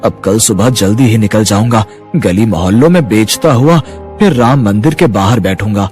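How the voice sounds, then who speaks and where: A man's voice: fairly low pitch, normal speed, a little mellow, soft background music, lightly acted. A man voicing a worried poor toy seller. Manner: anxious, pleading. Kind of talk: cartoon voice acting.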